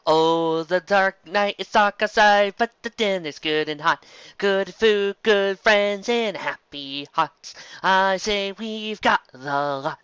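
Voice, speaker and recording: A man voicing a cheerful, sociable young female tavern pony in a storybook, lively and given to folk-song singing. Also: mid-range voice, clear, resonant tone, no echo, lo-fi sound, voice pushed up.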